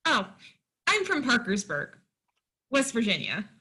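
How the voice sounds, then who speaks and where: A woman's voice: a little high, wildly swooping pitch, a little slow, pushed and bright, breathy edge, heavily acted. An actress playing an eager, naive small-town woman in a play reading, wide-eyed and hesitant. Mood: flustered.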